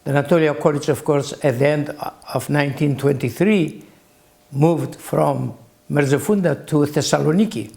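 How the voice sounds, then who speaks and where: A man in his eighties with a Greek accent, recalling his early life as an interview guest in halting phrases with long pauses. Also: on the high side, creaky, darkish tone. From Greece. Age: 80s. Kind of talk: slow reflective recollection.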